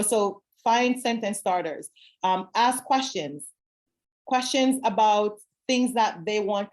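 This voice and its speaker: A woman teacher from Jamaica speaking on a video call, earnestly explaining classroom methods and repeating key phrases. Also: mid-range voice. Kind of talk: zoom talk answering questions.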